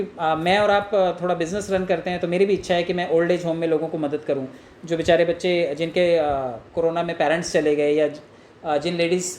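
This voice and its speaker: A man's voice: on the high side, a little mellow. A man from India giving informal sales coaching in an earnest, explanatory manner, acting out example calls and asking rhetorical questions. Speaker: network marketing trainer. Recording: echoey room with faint noise.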